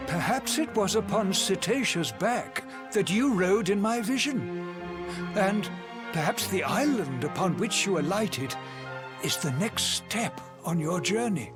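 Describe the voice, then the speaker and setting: An actor voicing a solemn, courtly seer in a video game, speaking formally with archaic, measured diction over music. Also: male voice, high voice, big pitch swings. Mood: wondering, resolute. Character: courtly, solemn male seer troubled by prophetic dreams. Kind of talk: dramatic video game voice acting. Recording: loud music under the voice.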